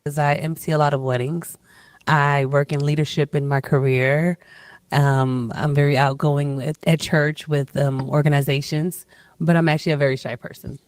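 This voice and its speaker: A woman's voice: deep voice, level tone, moderate pace, a little mellow, dry recording. A woman on a dating show describing herself in a casual interview.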